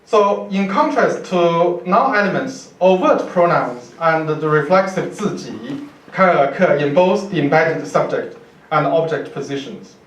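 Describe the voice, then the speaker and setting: Male professor, Chinese accent, deliberate halting delivery, academic lecture, fairly high pitch, very echoey room. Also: animated, a bit bright. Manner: halting, deliberate, with repeated words. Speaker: university professor giving a lecture. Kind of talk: academic lecture read from notes. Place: indoor university lecture room, speaker at a podium. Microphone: far camera microphone. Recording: roomy, distant lecture hall pickup.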